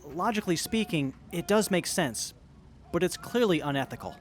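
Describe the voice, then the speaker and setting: A man's voice: a little high, animated, normal speed, lightly acted. A man playing an earnest, cautious young nerd in an audio drama, pedantic and a little hesitant. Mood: earnest reasoning.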